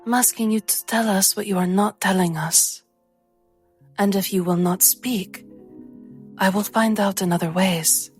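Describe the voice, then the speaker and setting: A woman's voice: mid-range voice, steady tone, a little slow, husky, soft background music. A woman voicing an imperious lady in a tabletop game, questioning in a formal, pointed, menacingly polite manner. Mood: coolly pressing.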